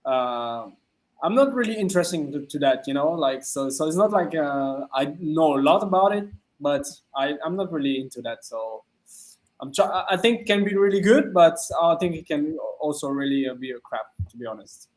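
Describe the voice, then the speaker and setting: A man in his thirties with a French accent, a DJ guest on a video-call interview, halting and searching for words with many fillers. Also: a little high, expressive, low-quality recording. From France. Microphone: studio mic on a video call.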